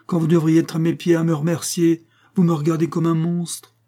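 A man's voice: mid-range voice, normal speed, dark tone, lightly acted. A man voicing a cruel, mocking husband in a dramatic audiobook, sneering and coldly domineering. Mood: scornful indignation. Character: cruel, mocking estranged husband, menacing and smug.

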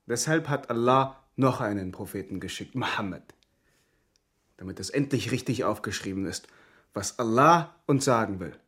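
Husky, earnest refugee man. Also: medium pitch. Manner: terse, solemn, matter-of-fact. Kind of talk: radio drama acting.

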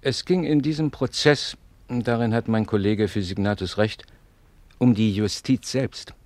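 An actor playing a pompous, self-righteous lawyer in a 1950s German radio play, declaiming and lecturing with rhetorical flourish. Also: male voice, fairly low pitch, unhurried, a little mellow, no room sound, close to an everyday voice. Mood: grand, declamatory. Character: pompous, self-righteous male lawyer, preaching high principle. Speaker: advocate character narrating and arguing.

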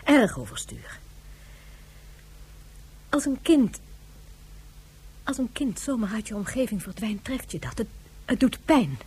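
A distraught, weary mother in a sci-fi radio drama. Her voice is very breathy and soft-toned, sliding expressively toward a whisper. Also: fairly high pitch, very animated, measured pace, long pauses, full character voice. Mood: strained, hurt. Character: distraught, weary mother waiting for news of baby. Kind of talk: Dutch science-fiction radio play acting.

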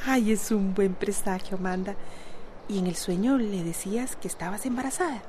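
An actress playing an anguished but resolute Costa Rican wife in a radio soap opera. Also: female voice, mid-range voice, moderate pace, dark tone, a little hiss or hum, natural voice. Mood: confiding, weary. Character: anguished, resolute wife standing up to abusive husband.

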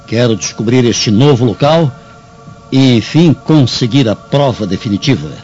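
Actor as animated, suspicious Roman master. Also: male voice, medium pitch, normal speed, close to an everyday voice. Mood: suspicious, determined. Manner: conspiratorial, commanding. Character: suspicious Roman husband, a commanding, plotting master. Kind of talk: radio drama acting. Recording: muffled audio with faint music.

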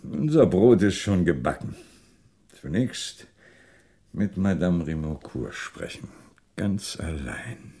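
An actor playing a shrewd, self-assured police commissioner with a wry, confident manner in a 1960s German radio crime drama. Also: male voice, deep voice, sing-song, takes it slowly, long pauses, dark tone, heavily acted. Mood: wry, sly.

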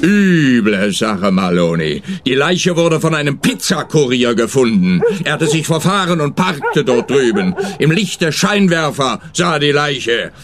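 An actor playing a brusque, pompous police detective in a radio crime comedy, blustering and officious with a faintly mocking edge. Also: male voice, mid-range voice, big pitch swings, measured pace, hardly any pauses, over music. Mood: officious, grim.

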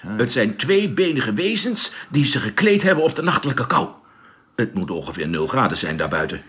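An actor playing a brisk, commanding male spaceship captain in a radio play. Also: mid-range voice, sing-song, fairly bright tone, husky. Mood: measured, commanding. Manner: brisk, questioning, giving orders.

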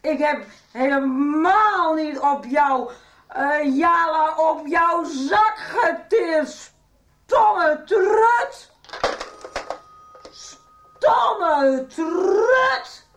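Radio soap: drunk, bitter mother, high voice, very slow slurred delivery, roomy sound. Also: lively intonation, a bit bright, full character voice. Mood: tearful, drunk. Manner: slurred, halting, repetitive drunken speech. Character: drunk, maudlin, bitter mother named Kati, slurring and tearful.

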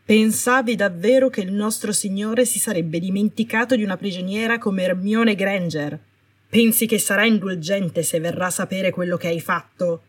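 A woman's voice: mid-range voice, moderate pace, breathy edge, close to an everyday voice. A woman voicing a stern, indignant healer in authority, cold and threatening, speaking in an accusing, commanding, clipped manner. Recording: roomy, distant microphone.

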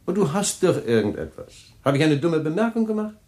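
An actor playing a husband hiding a secret, smooth and charming with friends but defensive and quick to lose his temper. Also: fairly high pitch, sing-song. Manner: smooth, then flustered and shouting on the phone. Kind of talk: radio play acting.

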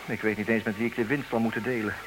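A man acting in a comedic radio play as a formal, businesslike suitor, stubborn and dryly exasperated, speaking with measured, theatrical emphasis. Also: on the low side, fairly even intonation, close to an everyday voice. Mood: insistent, businesslike. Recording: noisy, hissy old radio recording.

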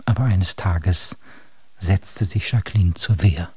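Dark, husky theatrical storyteller. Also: male voice, low voice, slow pace, no echo, exaggerated voice. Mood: confiding, macabre. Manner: grandiloquent, addressing listeners directly. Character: theatrical storyteller of a grim, absurd fairy tale. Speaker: narrator. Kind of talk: scripted radio drama narration.

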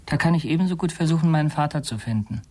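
A man's voice: on the high side, expressive, fast, dark tone. An actor playing a stubborn, disillusioned teenage boy in a radio drama, speaking with earnest determination. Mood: stubborn resolve. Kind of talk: German radio drama acting.

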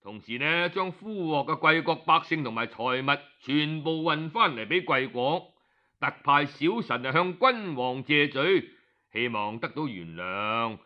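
A man voicing a deferential envoy in a Cantonese accent, speaking in measured, formal, apologetic phrases within an oral story. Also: a little high, animated. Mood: humble, formal. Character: deferential male envoy, humbly formal and conciliatory. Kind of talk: storytelling character voice. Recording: dull, lo-fi audio.

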